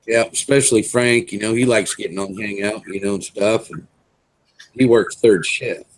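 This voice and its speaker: A man in his fifties hosting a casual online video hangout, chatting in an easygoing way with drawn-out fillers over a computer mic. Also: lowish voice, fairly even intonation, slight background noise, rough recording. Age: 50s. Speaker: host.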